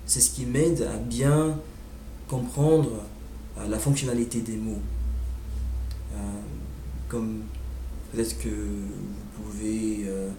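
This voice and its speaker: American man, native English speaker, unhurried and hesitant, slightly low voice, roomy sound. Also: fairly even intonation, dark tone, slight background noise. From United States. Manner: hesitant, searching for words with many "euh" fillers. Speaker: language learner sharing his experience. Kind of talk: casual monologue.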